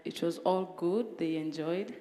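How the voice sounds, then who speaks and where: Lady coach, calm and earnest, warm lowish voice, echo all around. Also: a little slow, faint music behind. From Kenya. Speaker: soccer coach. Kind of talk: casual spoken account. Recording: echoey hall with long reverb.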